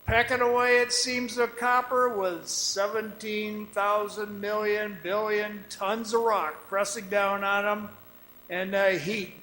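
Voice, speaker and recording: High-voiced, haunted old-time miner played by a man. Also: pushed and bright, roomy sound, a little hiss or hum, full character voice, rough recording. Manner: folksy, halting, conversational, with ominous pauses. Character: weary, haunted former copper mine guide telling his story. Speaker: radio drama actor. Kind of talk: radio drama monologue, folksy storytelling. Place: performing arts center stage.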